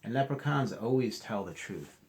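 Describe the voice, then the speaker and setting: A man's voice: fairly low pitch, animated, moderate pace, a little mellow, rough recording. A man voicing a grumpy, tricky male leprechaun from a children's storybook, curt and teasing.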